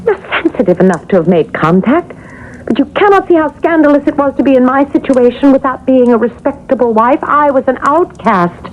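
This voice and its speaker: A woman's voice: high-pitched, expressive, a little fast. An actress playing a reproachful, theatrical female ghost of an eighteenth-century woman in a 1970s radio drama. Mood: aggrieved. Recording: muffled, noisy old radio broadcast.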